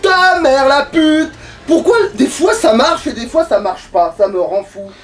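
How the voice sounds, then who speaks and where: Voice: high voice, lively intonation, measured pace, distant mic, soft background music. An exasperated video game player chatting casually and swearing heavily during a let's play. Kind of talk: casual let's play chat while playing.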